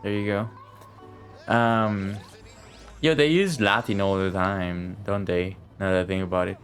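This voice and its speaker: A man's voice: sits low, steady tone, a little slow. Casual male game streamer over music. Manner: informal, rambling, playful asides. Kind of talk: casual live game commentary.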